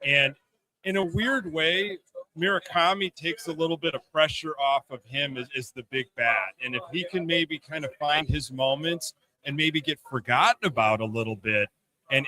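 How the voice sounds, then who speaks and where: A man's voice: mid-range voice, moderate pace, pushed and bright, poor audio. A man giving casual, emphatic sports commentary as a podcast guest, repeating himself to stress a point.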